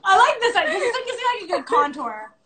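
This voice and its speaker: A woman of thirty hosts an informal live chat on her phone. Her voice is very high and bright, with a sharp, forward edge. She talks in a bubbly, self-deprecating way and throws in the odd swear word. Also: sing-song, quick pace. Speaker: interview host. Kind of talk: casual live chat interview. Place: at home on a phone live stream.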